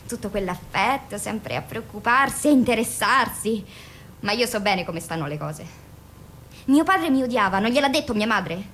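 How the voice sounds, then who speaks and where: Defiant, bitter young woman, high-voiced. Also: lively intonation, normal speed, fairly bright tone, a little hiss or hum. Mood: bitter, defensive. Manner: colloquial, blunt, defensive, sarcastic. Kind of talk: Italian radio drama acting.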